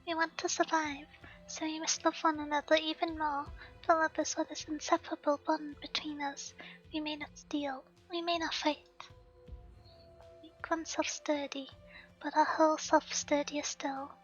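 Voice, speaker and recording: A woman reading as a gentle, earnest village girl. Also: high voice, little pitch movement, moderate pace, lightly acted. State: hoarse. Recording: slightly dull stream audio, faint game music.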